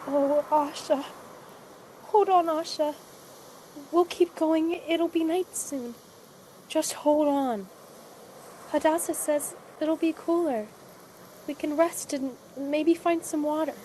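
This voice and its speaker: An actress playing an exhausted, frightened young girl in the desert in an audio drama, speaking in halting, plaintive phrases. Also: sits high, fairly even intonation, warm tone, slight background noise. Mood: tender, hopeful. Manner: halting, faltering, plaintive speech. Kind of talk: cinematic audio drama acting.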